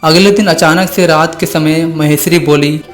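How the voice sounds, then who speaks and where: Higher-pitched male story narrator. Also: steady tone, normal speed, faint music behind.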